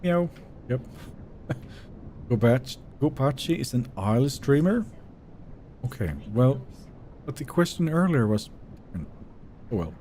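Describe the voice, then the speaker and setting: A male game streamer, raised in Sweden with Finnish as his first language, chats his way through a live session. His voice is mellow and soft-edged, yet his pitch bounces around a lot as he reacts to viewers. There is a faint hiss behind him. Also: big pitch swings, slow pace, pauses often. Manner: chatty, reads viewer messages aloud and answers them. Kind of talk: casual live-stream chat while gaming.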